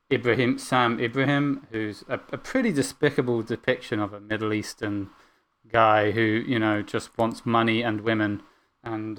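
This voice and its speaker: A man's voice: medium pitch, a bit bright. A man in casual podcast conversation, talking in a rambling way with many fillers and restarts, and blunt about what he dislikes. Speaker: podcast co-host discussing anime. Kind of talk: casual chat.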